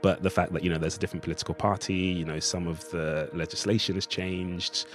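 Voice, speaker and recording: A man's voice: low voice, level tone, fast, faint music behind. A man giving a thoughtful, discursive interview as a writer, speaking in a reflective conversational way. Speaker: writer of a radio play, interviewee.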